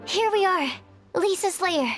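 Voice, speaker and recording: Shy scholarly alchemist for a fantasy game; very high pitch, earnest, careful, music behind. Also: female voice, animated, a little slow. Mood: polite. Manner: hesitant, polite, earnest. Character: shy, earnest, bookish young woman alchemist. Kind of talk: anime fantasy game voice acting.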